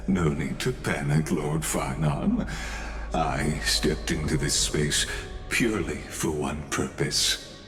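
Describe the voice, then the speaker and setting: Game casting: a slow, low, enigmatic villain, grandiose and serene, echoing. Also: male voice. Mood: serene, ominous. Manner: slow, grandiose, courteously ominous. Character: enigmatic, theatrical male antagonist, serenely watching prophecy unfold. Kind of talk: scripted video game acting. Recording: echoing voice over music.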